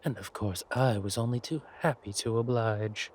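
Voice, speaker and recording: A man playing a villainous king in an audio roleplay, silky and amorous yet quietly furious, with deliberate, mock-polite speech. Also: mid-range voice, slightly rough, natural voice. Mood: teasing, amorous.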